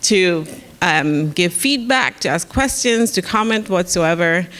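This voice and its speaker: A woman's voice: on the low side, measured pace. Chatty Saint Lucian woman leading a workshop. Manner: relaxed, chatty, inviting questions. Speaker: workshop leader, professor. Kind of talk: informal workshop talk.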